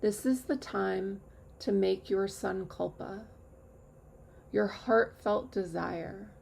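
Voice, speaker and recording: A woman guides a meditation at a very slow, unhurried pace. Her mid-pitched voice is heavily creaky, and she gives calm, measured instructions with long pauses. There is faint noise behind her. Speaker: yoga nidra teacher.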